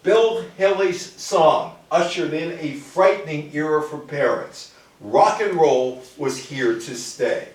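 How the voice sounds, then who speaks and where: A man's voice: on the high side, animated, slow pace. A man giving an informal history lecture to a live audience, putting rhetorical questions to his listeners. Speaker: lecturer, public speaker. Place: indoor room with a live audience. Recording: very echoey room, distant mic.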